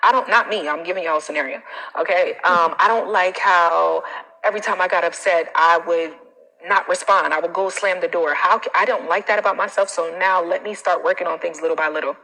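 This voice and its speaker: An American woman giving casual livestream coaching in a blunt, animated, colloquial way. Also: lowish voice, a little fast. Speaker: self-confidence coach. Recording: reverberant, echoing room sound.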